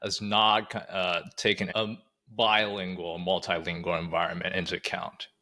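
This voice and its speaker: A man whose first language is Mandarin Chinese, co-hosting a podcast and asking a long, deliberate question with many fillers. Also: low voice, level tone, sharp tone. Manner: deliberate, frequent "uh" and "you know". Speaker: podcast co-host. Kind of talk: podcast conversation.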